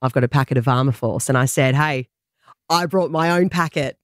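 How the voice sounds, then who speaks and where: A woman in her thirties with an Australian accent, co-hosting a breakfast radio show and telling stories in casual, lively chat. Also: a bass voice, dry recording, studio quality. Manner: lively storyteller, quick laughs. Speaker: radio co-host. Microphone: studio mic.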